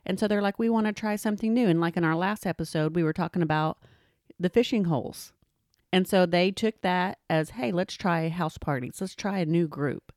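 A woman's voice: on the low side, a little fast, darkish tone, no room sound, clean studio sound. A woman co-hosting a podcast, talking in an earnest, conversational way. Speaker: podcast co-host. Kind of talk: casual chat.

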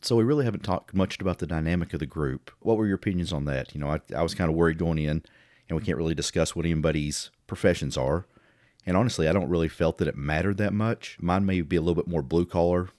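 Middle-aged Texan male co-host, low voice, quick chatty storyteller. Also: a little mellow, no room sound, clean studio sound. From Texas. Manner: chatty storyteller, laughs easily. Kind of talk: casual chat.

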